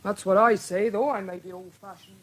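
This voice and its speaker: A woman's voice: medium pitch, steady tone, brisk, close to an everyday voice. An actress playing a fussy, motherly, old-fashioned housekeeper with a British accent in a radio drama. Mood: fussing, reproachful. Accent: British English. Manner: deferential yet scolding.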